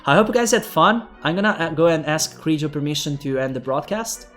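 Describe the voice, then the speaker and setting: Young Italian-accented man casting esports in English, slightly high voice, rambling with fillers, faint music behind. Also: expressive, brisk, fairly bright tone. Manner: rambling, with frequent fillers and restarts. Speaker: esports caster. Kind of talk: esports commentary and sign-off.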